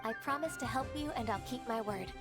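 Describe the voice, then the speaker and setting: A woman voicing a composed, formal female secretary in a video game, polite and measured, over game music. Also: a little high, lightly acted.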